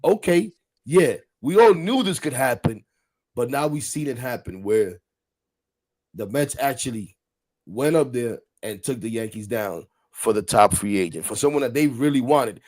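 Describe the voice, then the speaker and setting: A man in his forties hosting a casual sports talk show, animated and rambling, repeating words and laughing often. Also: mid-range voice, normal speed. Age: 40s. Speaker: podcast host.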